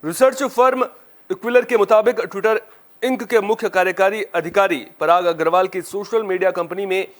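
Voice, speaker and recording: A male news anchor with a notably high-pitched voice reads from a script. His delivery is formal and presentational, in the style of a television bulletin. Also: steady tone, normal speed.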